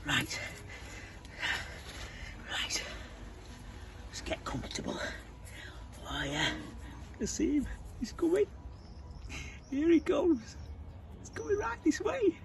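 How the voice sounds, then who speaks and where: A storyteller voicing an excitable, clumsy little tax collector man who is flustered, breathless and apologetic. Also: mid-range voice, measured pace, long pauses, a little hiss or hum, voice pushed up, lightly acted. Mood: excited.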